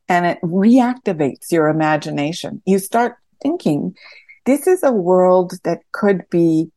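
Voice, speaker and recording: A woman giving a reflective interview as a series creator, thoughtful and halting as she searches for words. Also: lowish voice, warm tone, dry recording. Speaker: theatre podcast series creator.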